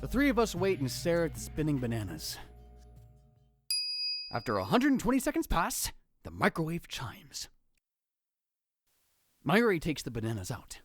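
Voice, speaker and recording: A man's voice: on the high side, normal speed, pauses often. Man playing a swooping, theatrical young mad scientist. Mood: musing, narrating. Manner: theatrical, grandiose, swinging into excited exclamations. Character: dramatic young male self-proclaimed mad scientist. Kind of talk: visual novel fan dub, first-person narration and dialogue. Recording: music behind the voice. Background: music.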